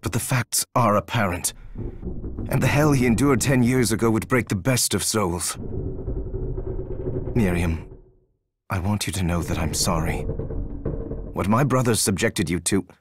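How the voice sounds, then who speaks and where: An actor playing a gentle, regretful scholar and protective companion in a video game, speaking with measured sincerity. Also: male voice, fairly low pitch, background noise, natural voice. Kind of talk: dramatic video game voice acting.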